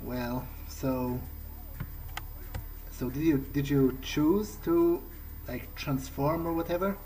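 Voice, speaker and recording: A man's voice: on the high side, fairly even intonation, slow, warm tone, slight background noise. A man narrating a video game playthrough in character, in a hesitant, musing, conversational way. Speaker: let's play host. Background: game music.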